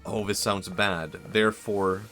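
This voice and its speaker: A young man chats live in a very low voice, rambling and hesitant, full of fillers and restarts. He pokes fun at himself as he goes. Faint music plays under his voice. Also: fairly even intonation, a little slow. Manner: self-mocking, laughs softly. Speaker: streamer. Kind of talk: casual live-stream chat.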